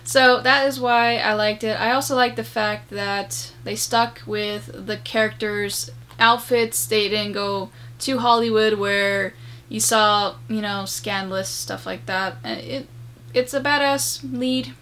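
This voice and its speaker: A woman's voice: medium pitch, fairly even intonation, slow, fairly bright tone. A young adult woman giving a casual, emphatic movie review to camera in a room at home. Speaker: video host and game reviewer. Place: small room at home, indoors.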